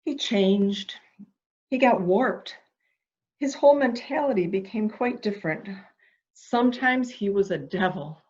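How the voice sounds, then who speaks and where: A woman's voice: medium pitch, expressive, unhurried, a little mellow, natural voice. A woman playing a fretful, intense, protective member of a household in a melodramatic mystery reading, in slightly dull sound. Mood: wistful. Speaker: amateur reader, library staff. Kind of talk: dramatic reading of a murder mystery.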